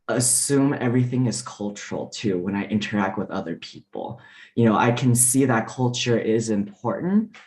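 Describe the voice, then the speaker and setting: A man whose first language is Mandarin, speaking as a diplomat on a panel discussion, relaxed and full of fillers. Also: fairly low pitch. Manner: relaxed, many fillers and restarts.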